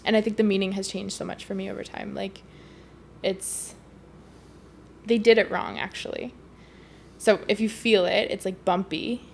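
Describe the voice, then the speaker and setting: A woman's voice: mid-range voice, flat delivery, normal speed, creaky, pauses often, a little hiss or hum. A young woman from Canada chatting casually as a podcast guest, rambling with lots of fillers and laughs. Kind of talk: casual conversation.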